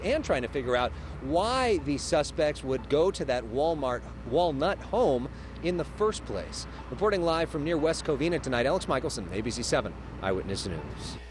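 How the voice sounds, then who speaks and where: A male field reporter delivers a live news report outdoors. His voice is slightly high, and urban noise is clearly audible. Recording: noisy outdoor live shot.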